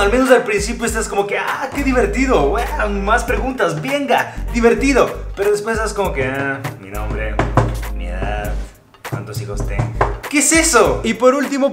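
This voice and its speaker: Sarcastic young man, high voice. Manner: sarcastic, playful, animated. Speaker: YouTuber. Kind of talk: casual vlog narration. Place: small bedroom with gaming chair.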